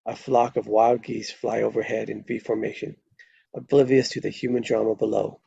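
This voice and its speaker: Guy reading script action lines at a table read, creaky dark voice, kinda muffled close mic. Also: lowish voice, little pitch movement, moderate pace, no echo. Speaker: narrator reading stage directions. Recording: dull lo-fi close microphone.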